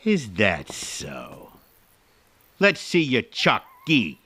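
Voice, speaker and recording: A man acts out a blustering small-town policeman in a radio mystery. The voice is forceful and sharply animated, accusing and bullying with curt, impatient authority. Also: mid-range voice, extremely slow, slightly rough, heavily acted. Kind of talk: old-time detective radio drama acting.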